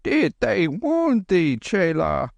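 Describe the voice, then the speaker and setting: A man voicing a gentle, serene old Tibetan holy man who speaks slowly and solemnly. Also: sits high, huge pitch swings, gravelly, dry recording, pitched above the performer's own voice, exaggerated voice. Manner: slow, mild, solemn.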